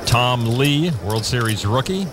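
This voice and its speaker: A man calling a poker tournament as the play-by-play commentator, in a steady, measured broadcast delivery. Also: medium pitch, very noisy.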